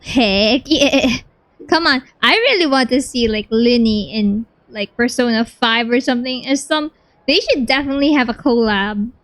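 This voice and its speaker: A woman's voice: fairly high pitch, normal speed, a bit bright, no room sound. A woman streamer giving casual reaction commentary while playing a video game. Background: game music.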